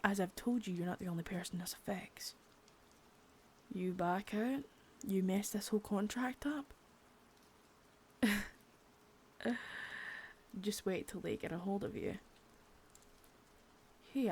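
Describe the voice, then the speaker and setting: Young woman playing a contemptuous female drug-ring boss, quiet menace, cursing, part-whispered; medium pitch. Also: breathy edge. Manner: menacing, swearing, soft with bits of whisper. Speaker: ASMR creator. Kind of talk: unscripted ASMR roleplay.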